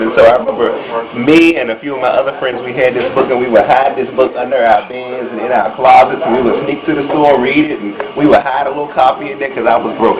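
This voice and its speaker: Effusive male interviewer, muffled amid crowd noise. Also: mid-range voice. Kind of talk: enthusiastic on-the-spot interview. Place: busy venue after a stage show. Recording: muffled sound, noisy crowd.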